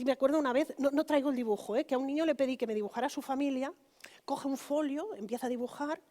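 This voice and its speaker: Woman lecturing, higher mellow voice. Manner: conversational, frequent tag questions to the audience. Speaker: pediatrician giving a conference lecture. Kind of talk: conference closing lecture.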